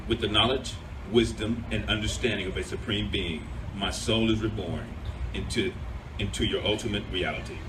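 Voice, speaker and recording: A man speaking earnestly and in a measured way as he presents and reads poetry at a live event. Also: fairly low pitch. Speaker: poetry reader, radio host. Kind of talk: spoken poetry reading with informal introductions. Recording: distant mic, clear background noise.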